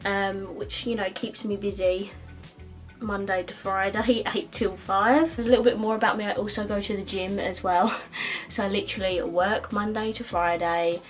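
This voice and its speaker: A woman's voice: medium pitch, steady tone, faint music behind. A woman in her twenties with an Essex accent, chatting casually while doing her makeup, with plenty of ums and likes. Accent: Essex English. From Essex, England. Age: 20s. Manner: chatty, lots of ums and likes, quick laughs. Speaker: beauty vlogger. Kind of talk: chatty get-ready-with-me talk.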